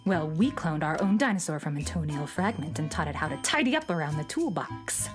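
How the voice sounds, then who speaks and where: A woman's voice: sits low, big pitch swings, fairly quick, music in the background. A woman playing a jealous, haughty young sidekick in a children's radio play, snooty and sarcastic. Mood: boastful. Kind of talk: children's radio theater acting.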